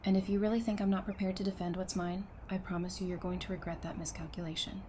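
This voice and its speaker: A woman's voice: lowish voice, level tone, fast, dark tone, a little hiss or hum, lightly acted. A woman playing a tender but determined wife in an apocalyptic audio drama, earnest and plainspoken. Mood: firm, defiant. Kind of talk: full-cast audio drama acting.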